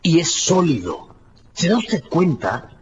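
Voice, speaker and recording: An actor playing an educated, alarmed doctor in a radio drama, speaking in an insistent, emphatic way. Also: male voice, on the high side, sing-song, slow, a little hiss or hum. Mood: urgent, insistent. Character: alarmed, urgent educated doctor, man of science. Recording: dull radio sound, faint music.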